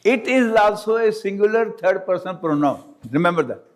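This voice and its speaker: A male Arabic teacher, not a native English speaker, giving a classroom lecture slowly and deliberately, repeating points and checking understanding. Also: high voice, animated. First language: not English; Urdu is his second language.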